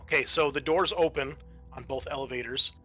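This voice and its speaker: A man with a bright, forward voice and a middle pitch works as a game master. He explains turns and narrates the scene conversationally, as if making it up on the spot, with music behind him. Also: steady tone, fairly quick.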